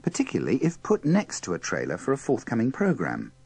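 A man's voice: mid-range voice, huge pitch swings, brisk, vocal fry, a little mellow. A man presenting a radio compilation with dry, tongue-in-cheek scripted narration. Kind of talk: scripted wry linking narration.

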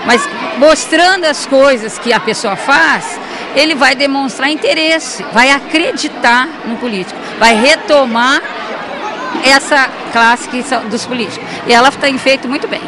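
A woman's voice: sits high, measured pace. 50s lady giving her earnest take, crowd chatter n noise behind. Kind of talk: street interview. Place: crowded school hall. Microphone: handheld TV mic held by reporter.

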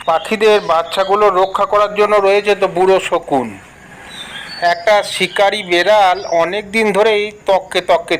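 A male storyteller with a notably high voice reads a children's fable in an even, measured way. There is a faint hiss of background noise in the recording. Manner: steady, storytelling. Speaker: narrator. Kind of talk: scripted narration of a children's fable.

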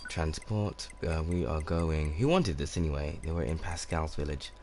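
A man in his twenties with a relaxed, soft-spoken voice, casually commenting while playing a game and rambling with many fillers. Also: very deep voice, moderate pace, slight background noise. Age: 20s. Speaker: game streamer. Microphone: condenser microphone. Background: game music.

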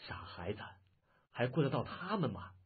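Man reading stern young village hero, firm and unshaken; husky, lo-fi. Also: mid-range voice, brisk. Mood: calm resolve. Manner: firm, steady, calm under threat. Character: brave stern young male village swordsman. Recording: lo-fi phone-like audio.

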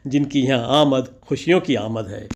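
A man's voice: mid-range voice, normal speed, a little mellow. A man narrating a vlog as a scripted voice-over, with ornate, poetic and theatrical phrasing. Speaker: vlogger narrating.

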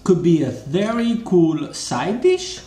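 Slow-talking Sicilian-accented man, echoey room. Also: sits high, sing-song, mellow, slight background noise. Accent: Sicilian Italian. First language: Italian. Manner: unhurried, hesitant with many fillers, relishing tastes. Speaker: cooking show host. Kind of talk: casual cooking demonstration. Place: home dining room, indoors.

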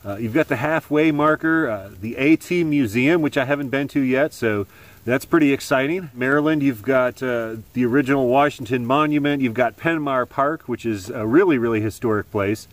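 A middle-aged man hosting a hiking vlog, talking to the camera under a tarp outdoors in the woods in a relaxed, chatty way with lots of "uh"s. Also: mid-range voice, normal speed, no echo. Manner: relaxed and chatty, many filler "uh"s. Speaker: hiking vlogger. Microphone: camera at arm's length.

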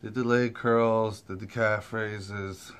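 A man's voice: lowish voice, little pitch movement, slow pace, a bit bright, clean tone. An American man in his thirties chatting casually to his own camera in a blunt, colloquial, grumbling way. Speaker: vlogger. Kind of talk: casual vlog chat. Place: home gym, kitchen, then moving car. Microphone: handheld phone or camera at arm's length.

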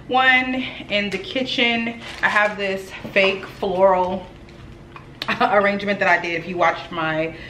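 A woman's voice: mid-range voice, fairly even intonation, slow, bright, forceful tone, slight background noise. Young woman, casual chatty vlogger talking straight to her viewers, recorded in a roomy echoey house. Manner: chatty, addresses viewers as y'all. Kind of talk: casual vlog chat. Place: at home, echoey rooms. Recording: echoey home room, faint ambience.

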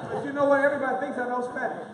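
A young man with a very high voice works the crowd in a big echoing hall, calling names and dollar amounts in a rhythmic, preacher-like drive. He speaks, heard from far off over a murmuring audience. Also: flat delivery, racing. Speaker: minister leading a fundraising appeal. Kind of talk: fundraising call from the pulpit. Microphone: handheld microphone recorded from a far camera. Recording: echoey hall audio from far off.

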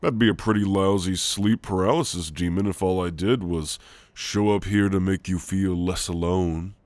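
Guy doing a soft teasing shadow demon for asmr, real low voice, close dry mic. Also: steady tone, no room sound, lightly acted. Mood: playful. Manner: soft, halting, playful, reassuring. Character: gentle, teasing male sleep paralysis shadow demon. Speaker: ASMR roleplay performer. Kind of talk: ASMR roleplay, intimate and slow. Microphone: close, dry microphone.

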